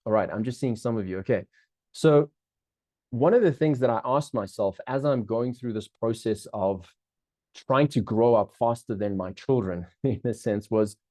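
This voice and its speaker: A middle-aged man with a South African accent talks through a live online masterclass, upbeat and chatty, looping back on his phrases and turning to the audience often. His voice is warm and mellow, sitting at an average pitch. The sound is dry and close, with no room echo. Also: normal speed. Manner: upbeat, chatty, many restarts, keeps checking in with the audience. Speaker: business coach hosting a live webinar.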